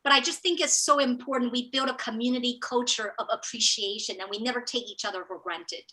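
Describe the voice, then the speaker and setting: Bright, high-pitched Taiwanese woman coach. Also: a little fast, poor audio. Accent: Taiwanese, American-sounding English. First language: Chinese. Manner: enthusiastic, effusive, repeats words when starting a thought. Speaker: business coach hosting a training. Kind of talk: motivational live training talk.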